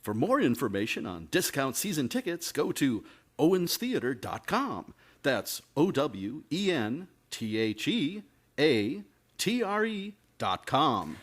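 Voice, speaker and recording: A man presents a scripted theater promo in a husky voice. His delivery swings widely in pitch and sits slightly high, like acted advert dialogue. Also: big pitch swings, slow.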